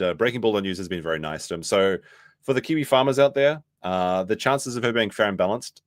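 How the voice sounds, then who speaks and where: Hoarse man with low, swinging pitch. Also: a bit bright, no room sound, studio quality. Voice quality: slightly hoarse. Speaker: livestream host. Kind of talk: casual commentary, reading posts aloud and reacting.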